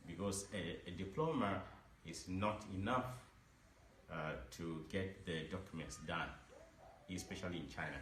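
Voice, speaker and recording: Deep-voiced man, echoey room. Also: a bit bright. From Zimbabwe. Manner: halting, full of restarts and repeated words. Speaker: English teacher. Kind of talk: informal advice talk to camera. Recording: echoey room, faint background noise.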